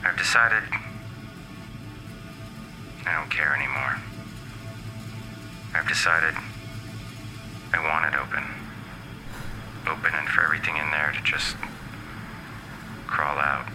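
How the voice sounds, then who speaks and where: A man playing a haunted, bitter scientist gone menacing, giving a brooding, deliberate audio drama monologue as a voicemail. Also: extremely low pitch, music in the background, exaggerated voice, rough recording. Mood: menacing, resolved.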